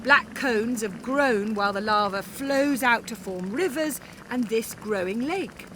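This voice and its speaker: A woman's voice: on the high side, a little slow, background noise, natural voice. A woman playing a wry, exuberant Icelandic cafe owner and volcano guide, narrating in the first person with theatrical, confiding asides. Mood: exclaiming, awed. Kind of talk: dramatised first-person audiobook monologue.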